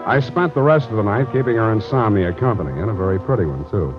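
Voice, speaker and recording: An actor playing a tough, unflappable American private detective in an old radio drama, deadpan and wisecracking. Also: male voice, on the low side, fast, lightly acted. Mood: wry narration. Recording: dull old radio recording with music.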